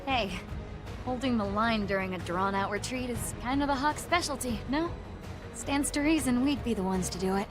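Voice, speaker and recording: A woman's voice: a little high, moderate pace, close to an everyday voice. A woman playing a confident, breezy female mercenary in a video game cutscene. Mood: casual, confident. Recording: loud music under the voice.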